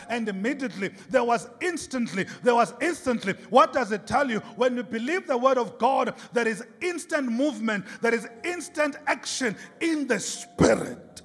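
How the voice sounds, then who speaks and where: Male preacher, very high voice, emphatic and repetitive delivery, big hall reverb, impassioned sermon. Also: a bit bright. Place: large reverberant indoor worship space. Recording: hall reverb with music behind.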